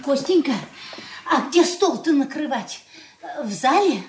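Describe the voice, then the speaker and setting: A woman's voice: high-pitched, lively intonation, unhurried, darkish tone. An actress playing a simple, flustered mother of grown children, with anxious, hesitant, folksy speech in a Soviet radio play. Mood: timid, flustered. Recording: roomy 1980s radio drama studio sound.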